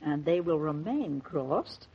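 An actress playing a shrewd, gently probing middle-aged mother-in-law in 1940s radio drama style, husky and knowing. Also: sits low, animated, slow pace, exaggerated voice. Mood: amused. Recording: dull vintage 1940s radio transcription.

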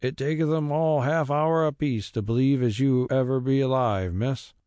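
A man's voice: medium pitch, warm tone, clear, resonant tone, no echo, clean studio sound, pitched above the performer's own voice, natural voice. A man voicing a stubborn old rustic carrier. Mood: coaxing. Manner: broad rural dialect, slow and roundabout.

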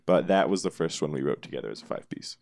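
Low deep guy voice, pretty dry sound, casual band talk, kinda stop and start. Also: lively intonation, fast talker, creaky, darkish tone, dry recording. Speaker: band member being interviewed. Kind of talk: casual chat.

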